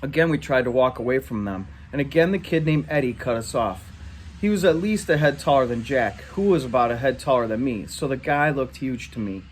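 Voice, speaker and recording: A man reading a story aloud in an animated way. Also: medium pitch, brisk, a little hiss or hum. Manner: animated, acts out dialogue in shouts. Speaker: reader. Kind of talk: read-aloud story narration.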